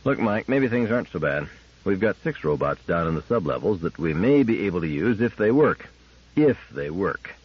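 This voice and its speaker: Casting: male narrator as composed, terse male engineer leader, sci-fi audiobook, lowish pitch, husky edge. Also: big pitch swings, normal speed, highs cut off, exaggerated voice. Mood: measured, reasoning. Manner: controlled, terse, reasoning. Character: level-headed, brown-mustached male team leader engineer.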